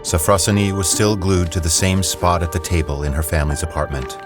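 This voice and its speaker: Deep-voiced male narrator over music. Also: brisk. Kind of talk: scripted story narration. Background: prominent music.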